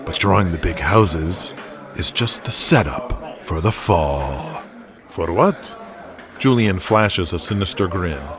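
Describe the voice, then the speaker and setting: A man's voice: sits low, animated, slow, a crowd in the background. A man narrating an audio drama in a dramatic, scripted storytelling style.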